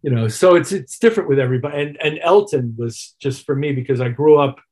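A man with a husky voice talking thoughtfully and articulately as a musician being interviewed. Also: a little high, quick pace, darkish tone. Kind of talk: reflective conversational interview.

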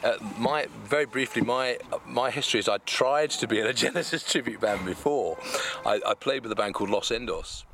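Fast-talking joking baritone man. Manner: joking, teasing, laughs often. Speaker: tribute band singer. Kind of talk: casual interview chat. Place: outdoors outside a pub. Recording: outdoor street noise with passing traffic.

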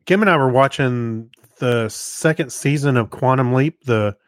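Male podcast co-host, mid-range voice, informal and rambling with halting self-corrections, dry sound. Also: mid-range voice, dry recording. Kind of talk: casual chat.

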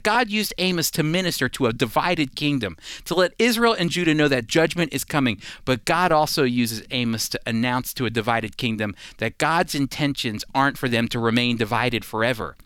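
Lively emphatic male radio Bible teacher. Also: medium pitch, no echo, clean studio sound. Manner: emphatic, rhetorical questions to listeners.

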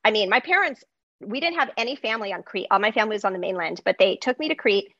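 Greek-native woman, lively storyteller, dry muffled sound. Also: mid-range voice, quick pace, no echo. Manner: animated storytelling, frequent fillers. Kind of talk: casual conversation.

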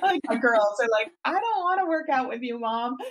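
A woman's voice: sits high, expressive, moderate pace. A woman in her forties hosting a podcast as a fitness coach, talking in a casual, encouraging way with frequent restarts. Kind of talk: casual interview chat.

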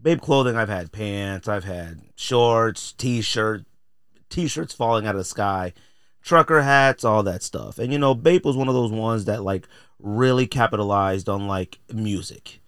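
An American man with a low voice, recorded close and dry. He talks like a podcast host sharing opinions, informal and full of slang. His delivery is blunt and conversational. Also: a little slow, fairly bright tone, smooth, no echo. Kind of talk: casual opinionated podcast talk.

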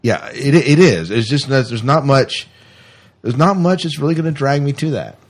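A man's voice: mid-range voice, lively intonation, moderate pace. A man hosting a podcast, chatting casually and giving his opinions freely. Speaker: podcast host. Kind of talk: casual science fiction news chat.

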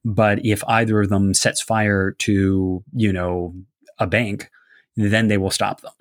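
A man's voice: fairly low pitch, little pitch movement, slow pace, no room sound. Man explaining lore, dry close sound. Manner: rambling, filler-heavy, explanatory. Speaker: game designer discussing a setting. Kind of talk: casual discussion.

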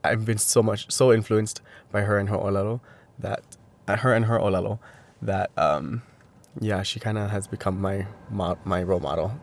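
Young man, low voice, halting answers. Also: steady tone. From Hawaii. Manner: hesitant, many fillers and restarts. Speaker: interviewee, language teacher. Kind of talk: casual interview answers.

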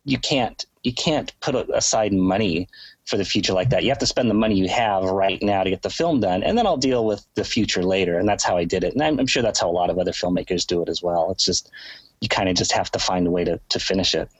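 A man's voice: low-pitched, expressive, fast talker, breathy edge, no echo. A man with a nasal, sniffly voice from a cold, explaining his filmmaking in a rambling, conversational way as an interview guest. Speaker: guest, writer-director.

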